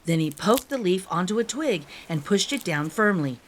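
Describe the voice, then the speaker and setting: Woman reading a kids picture book aloud, really expressive up and down voice, music in the background. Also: lowish voice, moderate pace. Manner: animated, careful storytelling. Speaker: children's book narrator. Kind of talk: expressive storybook read-aloud.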